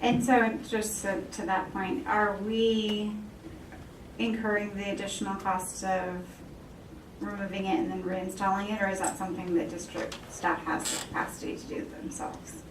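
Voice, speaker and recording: A woman speaks as a board member at a public meeting, informal and conversational. Her voice is medium in pitch. The sound is roomy and distant. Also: flat delivery, normal speed. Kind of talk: questioning and making motions at a public meeting. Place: indoor meeting room. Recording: echoey, noisy room, distant microphone.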